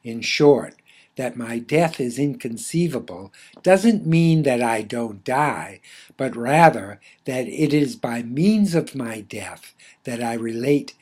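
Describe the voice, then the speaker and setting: Man reading a philosophical essay deliberately. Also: mid-range voice, expressive, darkish tone. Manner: measured, deliberate, formal literary phrasing. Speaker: essay author reading own work.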